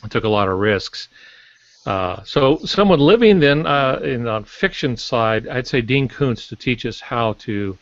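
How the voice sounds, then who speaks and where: A man's voice: mid-range voice, animated, unhurried. An older man chatting casually as a podcast guest in a wry, joking manner over an online call. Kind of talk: casual panel chat. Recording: dull, dry lo-fi call audio.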